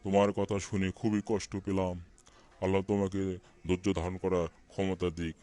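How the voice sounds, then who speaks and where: Guy being a friendly old-style cartoon tree, gentle n caring, deep flat voice. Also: moderate pace, close to an everyday voice. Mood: kindly. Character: kind protective talking tree, friend to bird. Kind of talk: cartoon voice acting.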